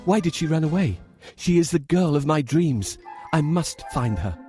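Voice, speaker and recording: A man's voice: a little high, expressive, normal speed, dark tone, soft background music, close to an everyday voice. A man playing an earnest, lovestruck young prince in a children's storybook, speaking in a declamatory, theatrical way. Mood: dismayed, determined. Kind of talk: children's storybook character acting.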